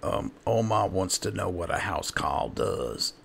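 A man's voice: low-pitched, sing-song, unhurried, creaky, a bit bright, a little hiss or hum. A man hosting a gaming video, giving casual, chatty, hesitant roleplay commentary as he plays.

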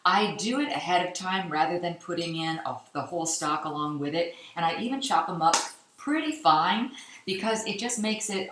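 Bright-voiced woman cooking at home. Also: fairly low pitch, slight background noise. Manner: chatty, laughs often, gestures while talking. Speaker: cooking show co-host. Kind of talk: casual cooking demonstration. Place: home kitchen, indoors. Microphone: camera mic across the kitchen. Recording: echoey kitchen.

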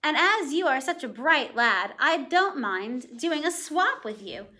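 A woman's voice: high-pitched, big pitch swings, pushed and bright, exaggerated voice. A woman reading aloud and voicing a funny-looking man who slyly coaxes a boy into a trade. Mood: coaxing. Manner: slow, coaxing persuasion.

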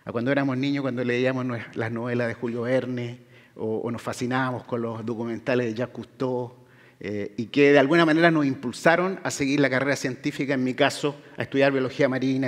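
A man's voice: medium pitch, fairly even intonation, fairly quick. A middle-aged man with a Chilean Spanish accent, giving a public science lecture in a conversational way, with fillers and tag questions. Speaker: guest lecturer, oceanographer. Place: large lecture hall with audience. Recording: hall reverb from a lecture room.

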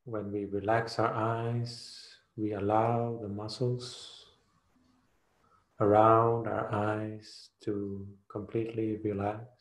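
A man leading a guided meditation as a teacher, speaking soothingly in short phrases with long pauses. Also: fairly low pitch, flat delivery, reverberant.

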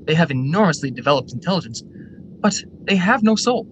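An actor playing a brisk, eager factory general manager in a radio drama, formal and articulate. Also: male voice, highs cut off. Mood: eager storytelling. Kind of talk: stage-style radio drama acting. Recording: noisy radio drama recording, steady rumble.

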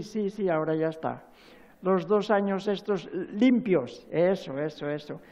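An older man seated on a stage giving a rambling, emotional talk at a spirituality forum, full of halting restarts. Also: fairly high pitch, darkish tone, dull audio. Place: large stage, seated on a chair.

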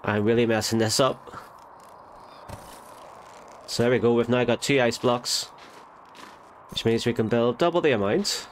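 A man's voice: mid-range voice, a little slow, pauses often, a little hiss or hum. A man with a nasal voice from a recent illness, chatting casually over a game and correcting himself as he goes. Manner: chatty, self-correcting, thinks aloud. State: nasal from a cold. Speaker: gaming channel host. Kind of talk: casual let's play commentary.

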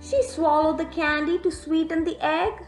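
A young woman reading a picture book aloud to young children in an expressive, teacherly way, pausing to put questions to them. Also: very high-pitched. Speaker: children's storyteller. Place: room at home, indoors. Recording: echoey room, music in the background.

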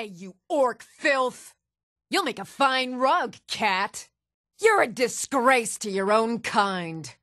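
A woman's voice: fairly high pitch, a bit bright, no echo, studio quality, heavily acted. A woman playing a stern, challenging Nord townswoman ready for a fight in a fantasy video game. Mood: fierce. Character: fierce, even-tempered Nord townswoman warrior, commoner in a fantasy world. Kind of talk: fantasy video game combat and reaction lines.

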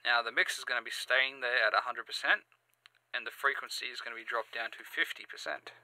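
A man hosting a step-by-step software tutorial, halting and sometimes repeating or correcting himself. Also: medium pitch, fairly even intonation, creaky, no echo, poor audio. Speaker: tutorial host. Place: indoors, screen-recording voice-over.

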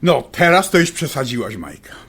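Placid husband, wildly swooping voice. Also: male voice, medium pitch, sing-song, moderate pace, fairly bright tone, husky, exaggerated voice. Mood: defensive. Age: middle-aged. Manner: placating, obtuse, teasing. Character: placid, blunt middle-aged husband, a contractor boss. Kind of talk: audio drama acting.

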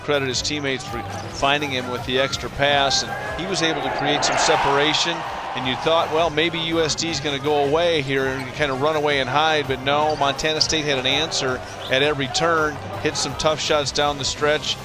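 A man's voice: a little high, level tone, normal speed, background noise. A man in his thirties, a color analyst breaking down a basketball game at a courtside arena desk, talking conversationally into a headset mic and often repeating words as he starts phrases. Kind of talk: postgame analysis commentary.

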